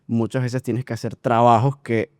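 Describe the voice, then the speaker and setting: A man's voice: medium pitch, little pitch movement, normal speed, dry recording, clean studio sound. A man hosting a podcast, asking long, rambling questions in casual talk. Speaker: podcast host. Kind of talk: casual interview.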